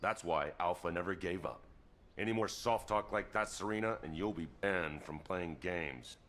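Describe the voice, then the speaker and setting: A man's voice: low-pitched, moderate pace, fairly bright tone, slight background noise. An actor playing a steadfast male soldier pilot in game cutscene dialogue, firm and plain-spoken. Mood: encouraging.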